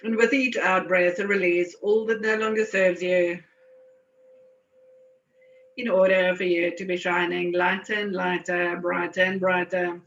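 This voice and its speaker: A woman slowly guiding a meditation in a soothing, unhurried way, with lingering pauses. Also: lowish voice, level tone. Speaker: guided meditation leader. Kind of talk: slow guided meditation. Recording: dull, echoey room recording.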